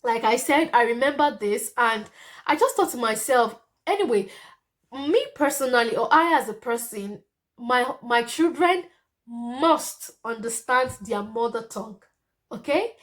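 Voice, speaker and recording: A woman's voice: on the high side, expressive, unhurried, a bit bright. A young Nigerian woman whose first language is Yoruba, chatting casually to her viewers and often checking in with "okay?". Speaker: vlogger. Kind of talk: casual storytelling to camera.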